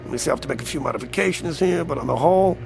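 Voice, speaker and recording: Gravelly, sly old devil. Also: male voice, fairly high pitch, very fast, darkish tone, soft background music. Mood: gleeful, boastful. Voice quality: creaky, gravelly. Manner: courtly, theatrical, teasing, self-satisfied. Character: sly, boastful devil posing as a genial old newspaperman. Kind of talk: radio drama acting.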